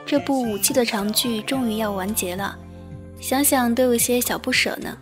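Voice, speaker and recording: A woman's voice: on the high side, moderate pace, music in the background. A woman speaking as an audio drama director in a casual thank-you afterword.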